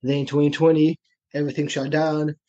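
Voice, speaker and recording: A young man in his twenties from Israel, with an Israeli accent, telling his story in a relaxed way as a podcast guest. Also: on the high side, a little fast. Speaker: guest, personal trainer. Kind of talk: casual podcast interview. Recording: dull lo-fi remote audio.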